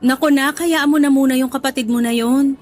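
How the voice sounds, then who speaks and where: A woman's voice: high-pitched, fairly even intonation, a little fast, a little mellow, lightly acted. A woman with a Filipino accent acting an affectionate middle-aged mother, motherly and coaxing, in a radio drama. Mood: soothing. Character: affectionate, soothing Filipino mother of grown sons.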